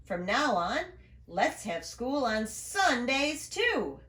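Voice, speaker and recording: A woman voicing a proud, overeager male school principal in a storybook, declaiming with drawn-out, emphatic words. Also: a little high, big pitch swings, fairly bright tone, exaggerated voice. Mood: enthusiastic, grand. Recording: roomy, echoing room sound.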